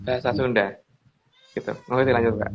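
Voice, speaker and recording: A man's voice: mid-range voice, fairly even intonation, fairly quick. A man casually explaining old legends over slides, rambling with restarts and often turning to a woman reading the slides. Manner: rambling, with restarts and addressing the reader as Mbak. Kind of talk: casual spoken commentary on slides. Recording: dull lo-fi recording.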